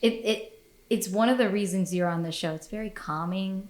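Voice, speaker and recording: A woman's voice: mid-range voice, normal speed. Woman podcast host, casual chat, swears freely, frequent laughs, echoey room. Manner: blunt and profane, laughs often.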